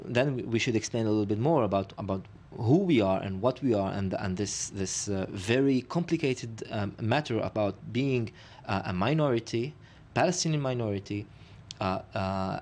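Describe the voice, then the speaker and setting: A man speaking as a studio guest, talking haltingly with many restarts and fillers. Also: fairly low pitch, expressive, a little mellow. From Israel. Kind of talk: studio discussion.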